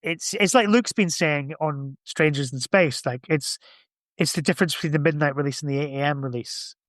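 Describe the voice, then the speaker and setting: A man talks in a fairly high voice, close and dry, as if on a podcast. His delivery is informal and reflective, with an enthusiastic edge to the casual chat. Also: normal speed, no echo. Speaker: podcast speaker.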